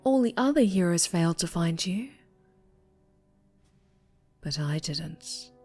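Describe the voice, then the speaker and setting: A woman playing an obsessive female superhero captor in an ASMR roleplay, speaking in breathy coos and whispers with sweet, possessive menace. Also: lowish voice, expressive, takes it slowly, soft background music, heavily acted. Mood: tender, possessive. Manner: breathy cooing that slips into whispers and soft menace. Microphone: close microphone.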